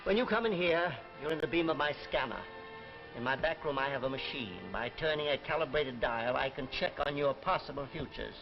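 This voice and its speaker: An actor playing a principled old scientist in a 1950s television drama, speaking in a measured, formal way. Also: male voice, fairly high pitch, creaky. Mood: grave, measured. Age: older. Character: weary, principled old scientist who sees futures. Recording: muffled vintage TV broadcast, music behind.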